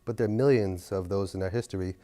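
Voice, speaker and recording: A man, a city council member, speaking earnestly and at a measured pace in an interview. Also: low voice, mellow. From New York. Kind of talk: interview reflection.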